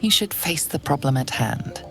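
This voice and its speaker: A woman playing an ominous, all-knowing narrator of a dark fantasy game, grave and theatrical. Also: sits low, lively intonation, moderate pace, vocal fry, faint music behind. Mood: grim, ominous. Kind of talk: second-person scripted game narration.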